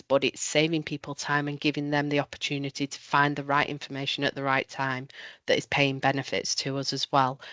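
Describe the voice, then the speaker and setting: A woman presenting for a local council at an online event, talking in a measured way with ums and restarts, a little nasal from a cold. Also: low-pitched, fairly bright tone, no room sound. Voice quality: slightly nasal. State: has a cold. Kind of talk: webinar presentation and Q&A. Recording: dull lo-fi online call audio.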